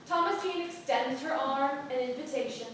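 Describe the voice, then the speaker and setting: A woman's voice: sits high, normal speed, a bit bright. A woman narrator reading scripted stage directions aloud at a staged play reading. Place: stage in a theatre. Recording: echoing theatre, distant mic, faint noise.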